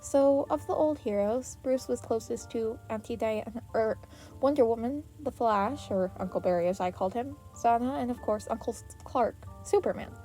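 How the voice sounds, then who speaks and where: A woman voicing an earnest, excitable teenage boy hero who gets flustered, in an anime fan story reading. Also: on the high side, normal speed, music in the background, close to an everyday voice. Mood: fond, reminiscing. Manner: stammering when flustered.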